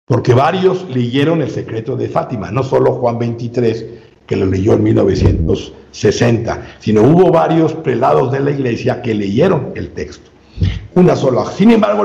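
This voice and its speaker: A man speaking as an interview guest, giving a long measured explanation with frequent filler sounds and restarts. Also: mid-range voice, gravelly, dark tone. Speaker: guest researcher. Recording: roomy, dull lo-fi sound.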